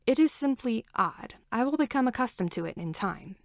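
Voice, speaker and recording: A woman voicing a reserved alien man in a fanfiction audiobook, with formal, measured speech and no contractions. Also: on the high side, expressive, dry recording, clean studio sound.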